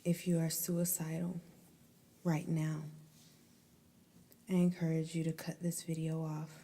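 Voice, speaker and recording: A woman whispering a guided ASMR meditation in a breathy, soothing, deliberate way, pausing between phrases. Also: sits low, completely flat delivery, dark tone.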